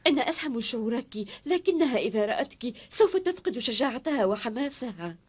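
A woman's voice: fairly high pitch, very animated, fairly quick, breathy edge. A woman playing a devoted, tender young woman teacher in a cartoon drama, emotional and pleading. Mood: earnest, subdued. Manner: emotional, pleading, tearful delivery. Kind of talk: dubbed cartoon drama acting in formal Arabic.

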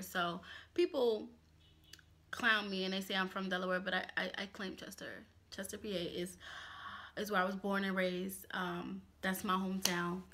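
A woman in her twenties from Pennsylvania, chatting candidly to camera in a casual vlog from a small home office. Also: mid-range voice. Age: 20s. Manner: chatty, candid, expressive hand gestures. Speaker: YouTuber.

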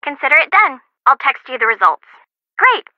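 A cheeky student sidekick in a detective game. Her high, theatrical voice swings widely in pitch, breezy and wry, through a dull phone filter. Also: big pitch swings, moderate pace, dry recording. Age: young adult. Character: chatty, cheeky young female criminology student sidekick. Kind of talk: video game character acting over the phone. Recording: muffled phone-like sound.

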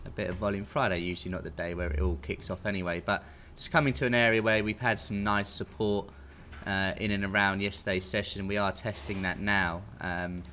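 A man's voice: deep voice, flat delivery, a little fast, hardly any pauses, pushed and bright. A British man in his thirties giving an informal, conversational live market briefing as a technical analyst. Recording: clear background noise.

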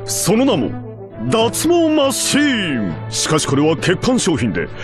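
Over loud music, a high and extremely animated TV announcer narrates. The delivery is melodramatic, exaggerated and comic, in a video game style. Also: male voice, measured pace, heavily acted. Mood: dramatic, mock-serious. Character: melodramatic TV announcer reporting a faulty product scandal.

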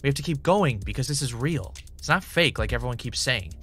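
A man's voice: medium pitch, animated, fairly quick, bright, forceful tone, a little hiss or hum. A man playing a driven, uneasy podcaster investigating mysterious tapes, earnest and conversational in an audio drama. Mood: urgent, insistent. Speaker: podcast host.

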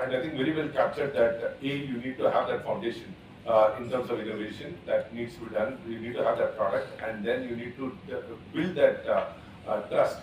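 A man's voice: on the high side, a little hiss or hum. Man in his 40s on a panel, eager, keeps giving examples, echoey far-off hall sound. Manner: enthusiastic, builds his point with examples. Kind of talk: conference panel talk. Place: large conference hall, at a panel table. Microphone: table gooseneck mic. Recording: echoey hall, distant from the mic.

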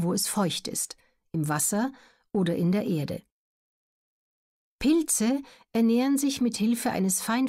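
Female audiobook narrator, mid-range voice, measured and neutral delivery, careful articulation for educational material. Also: medium pitch, mellow.

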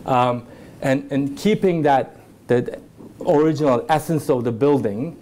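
A man's voice: medium pitch, unhurried, dark tone, slight background noise. A man speaking as a hotel industry panelist, talking with frequent stammered restarts. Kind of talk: conference panel discussion.

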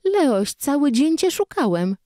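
A woman's voice: high voice, sing-song, unhurried, dark tone, no echo, studio quality, pitched above the performer's own voice. Woman playing an earnest little toddler boy. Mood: anxious.

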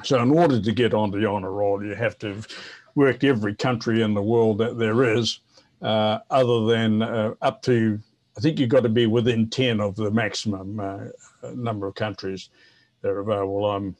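A man in his seventies with a New Zealand accent, chatting and explaining casually in a halting way with repeated words. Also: fairly low pitch, fairly even intonation, a little mellow. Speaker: guest, veteran amateur radio operator. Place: home office, indoors.

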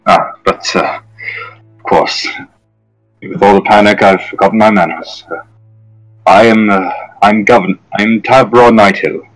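Casting note: a man doing a fussy, polite governor, low voice, formal and halting. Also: fairly even intonation, faint music behind, lightly acted, rough recording. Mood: worried, courteous. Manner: formal, hesitant, apologetic.

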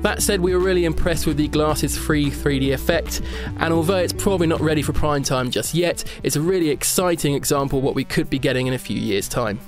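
A man gives a brisk, upbeat scripted narration, his voice sitting slightly high. Music plays clearly beneath the voice-over. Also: rough recording. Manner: upbeat, enthusiastic product rundown. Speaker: tech show presenter.

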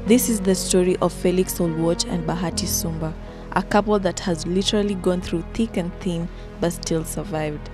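A woman reading a scripted introduction in a slow, measured way. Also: lowish voice, fairly even intonation. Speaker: narrator. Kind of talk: scripted narration. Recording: voice-over with music under it.

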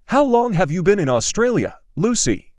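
A man's voice: high-pitched, sing-song, normal speed, darkish tone, no room sound, studio quality. A man reading a simple English dialogue for learners, carefully enunciated and repeating each line. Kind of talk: slow scripted dialogue reading.

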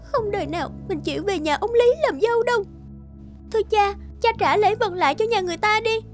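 A young cartoon noblewoman in love. Her voice is very high, over a music bed. She is gentle, devoted and openly emotional. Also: a little fast. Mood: upset, defiant. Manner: soft-hearted, pleading, emotional. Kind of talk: animated folk-tale dialogue.

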